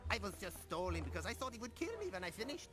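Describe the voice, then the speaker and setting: A woman's voice: medium pitch, sing-song, fast, close to an everyday voice. A woman playing a blasé, matter-of-fact scientist in a video game cutscene. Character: blasé, kidnap-weary scientist.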